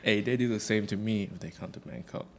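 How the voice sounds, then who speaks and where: A young man from Thailand, chatting casually on a podcast in a relaxed, hesitant way with lots of fillers. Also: lowish voice, fast talker, slight background noise. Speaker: guest musician.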